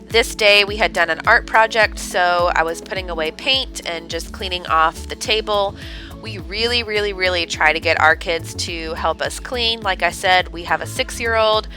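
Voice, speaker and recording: A woman's voice: on the low side, normal speed, bright, forceful tone, faint music behind. A woman in her thirties with a stuffed-up head cold, chatting casually as she hosts a cleaning vlog. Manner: chatty, upbeat, with fillers.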